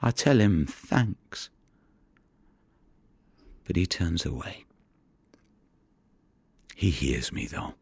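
A deep, husky male voice takes its time, a tired but tender fisherman in an audio play. He tells his story as an intimate monologue, unhurried and gravelly, close and confiding. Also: no echo, heavily acted. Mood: wry, tender. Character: weary, tender-hearted working fisherman telling his story.

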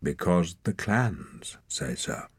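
A man's voice: sits low, creaky, slightly rough, no room sound, heavily acted. A man doing a cold, stern clan chief. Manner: slow, measured, quiet and flat. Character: stern, cold male clan leader, unmoved.

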